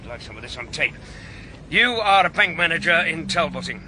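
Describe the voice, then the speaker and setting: High-voiced pushy press reporter. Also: male voice, expressive, brisk. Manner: insistent questioning. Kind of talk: comic radio drama acting. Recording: loud outdoor traffic noise.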